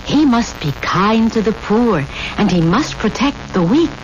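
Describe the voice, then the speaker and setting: A woman playing a caring, practical wife and mother, expressive and solicitous, in 1970s radio drama style. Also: on the high side, measured pace. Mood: gentle storytelling. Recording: muffled, hissy vintage radio broadcast.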